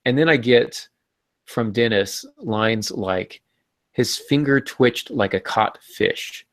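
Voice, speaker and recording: A man's voice: medium pitch, slow, no echo. A man co-hosting a podcast, chatting casually about writing craft and jokingly acting out quotes. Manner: jokey, acts out mock quotes. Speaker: podcast co-host. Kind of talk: casual writing-advice discussion.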